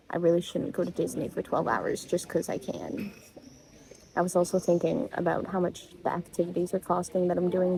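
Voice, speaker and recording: A woman's voice: on the low side, vocal fry, warm tone, low-quality recording. A young woman from Labrador, Canada, chatting casually in a travel vlog, rambling and self-deprecating, hot and tired. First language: English. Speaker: travel vlogger.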